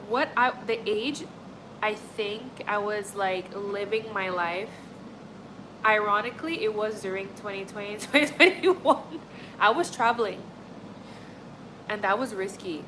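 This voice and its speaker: A woman's voice: medium pitch, background noise. A young woman from Montreal, chatting casually with her livestream audience in a rambling, friendly way full of "like" and "honestly". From Montreal, Canada. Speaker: livestream host. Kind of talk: casual chat with live chat.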